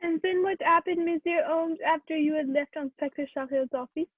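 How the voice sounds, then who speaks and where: A formal French police prefect in a radio play, acted by a woman, questioning courteously and methodically. The voice is high and dry, heard through a narrow, phone-like recording. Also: moderate pace, no room sound. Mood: probing. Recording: thin phone-like sound.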